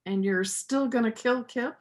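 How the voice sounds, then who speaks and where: A woman in an amateur reader's theater play, acting a steadfast, principled small-town doctor who is quietly defiant. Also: a little high, a little slow, natural voice. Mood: wary.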